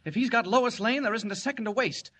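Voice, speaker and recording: Casting: brisk, resolute newsman in old radio serial; very high, forceful tone. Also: heavily acted. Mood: urgent. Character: urgent, brisk, determined male newspaper reporter. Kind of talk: 1940s radio serial acting.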